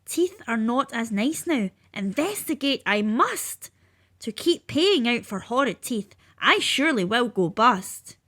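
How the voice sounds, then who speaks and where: A woman's voice: fairly high pitch, very animated, unhurried, a bit bright, full character voice. A woman voicing a fussy, exasperated tooth fairy in a read-aloud storybook. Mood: worried. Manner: exasperated, indignant. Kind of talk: storybook character voice.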